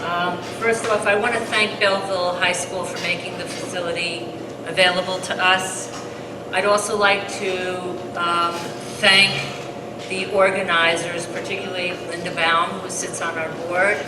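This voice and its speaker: A woman with a fairly low voice moderating a public seminar, reading introductions slowly with frequent ums. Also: level tone. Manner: reads introductions with frequent ums, slow and deliberate. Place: high school hall. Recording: echoey hall recorded from far off, noisy.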